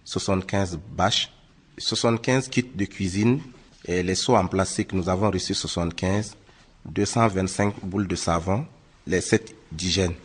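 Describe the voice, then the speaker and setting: Deep, husky Burkinabe man listing figures. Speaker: Red Cross committee president, interviewee. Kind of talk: factual interview report.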